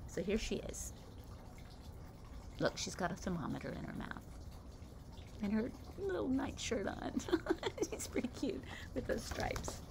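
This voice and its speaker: A woman's voice: fairly high pitch, a little slow, gravelly, long pauses, noisy background. An older woman, a grandmother, reading a picture book aloud and chatting about the pictures between pages. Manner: warm storytelling, comments on the pictures, lively.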